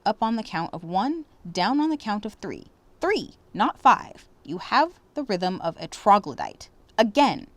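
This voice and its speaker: Storybook ballet master, male, stern and cutting, animated pitch; female reader performs him. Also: unhurried, no echo. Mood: stern, scolding. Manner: commanding, exacting, theatrical.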